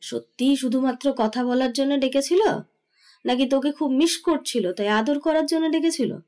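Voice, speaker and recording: A woman's voice: high voice, normal speed, darkish tone, lightly acted. A woman playing a middle-aged mother-in-law who teases fondly and gives motherly orders in a romantic audio story. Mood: teasing. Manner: teasing, motherly.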